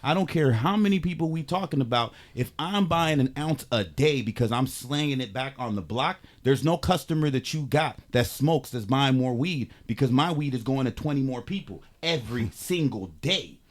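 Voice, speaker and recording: A man in his forties from the San Fernando Valley, telling stories in a streetwise, animated way with lots of slang. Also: medium pitch, moderate pace, few pauses. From San Fernando Valley, California. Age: 40s. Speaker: guest. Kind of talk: casual chat.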